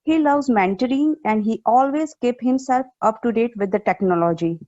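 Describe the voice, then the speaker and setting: Female meetup host, brisk, muffled call audio. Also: on the high side, warm tone. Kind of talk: introducing a speaker. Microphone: video call.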